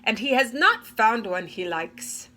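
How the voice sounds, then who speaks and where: A woman's voice: fairly high pitch, big pitch swings, unhurried, bright, forceful tone, full character voice. Woman acting an emphatic, grumbling storybook king. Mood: complaining. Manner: complaining, emphatic. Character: impatient, blustering king complaining about his son. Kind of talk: children's storybook read-aloud.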